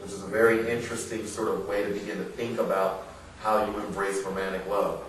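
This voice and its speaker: A man's voice: lowish voice, little pitch movement, fairly quick. An American man, an author, giving an earnest, emphatic public book reading mixed with informal remarks to his audience. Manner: earnest, emphatic, rhetorical questions. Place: indoor literary salon before an audience. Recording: roomy echoing live venue, distant mic, faint noise.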